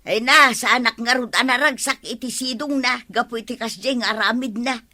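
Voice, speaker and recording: An exasperated scolding wife. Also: female voice, on the high side, fairly quick, sharp tone. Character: fed-up wife of a hot-headed village man. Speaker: radio drama actor. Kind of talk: radio comedy drama acting.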